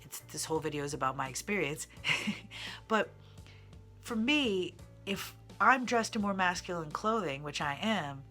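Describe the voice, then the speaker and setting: A man's voice: sits high, animated, unhurried, sharp tone, faint music behind. A transgender man, telling personal stories to camera in a candid, digressive way with many fillers. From Midwestern United States. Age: 30s. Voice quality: feminine-sounding voice. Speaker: singer and vlogger. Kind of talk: casual personal storytelling to camera.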